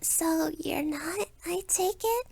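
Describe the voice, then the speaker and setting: A woman voicing a sweet, chatty young vampire girl next door in a soft, coy ASMR roleplay manner. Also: extremely high pitch, takes it slowly, vocal fry, no echo, voice pushed up, full character voice. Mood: friendly, coy.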